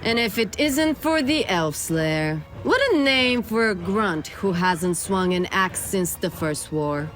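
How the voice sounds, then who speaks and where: A woman's voice: mid-range voice, animated, slow, hardly any pauses, fairly bright tone, faint music behind. Night elf sentry female actor for fantasy radio drama, imposing, haughty, stern, medium register. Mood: taunting. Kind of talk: radio play acting.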